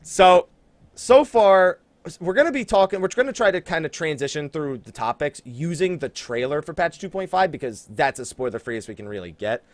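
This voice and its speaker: A man's voice: fairly high pitch, very animated, normal speed, dry recording. A man hosting a casual gaming podcast, talking conversationally with teasing emphasis. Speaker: podcast host.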